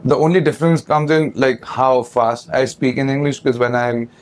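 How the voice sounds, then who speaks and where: A man's voice: medium pitch, steady tone, darkish tone. A young man from India with an Indian accent, a touring band singer, talking loosely and frankly as a guest in a casual interview. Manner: chatty, loose and frank, with quick asides. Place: outdoors at a hot festival.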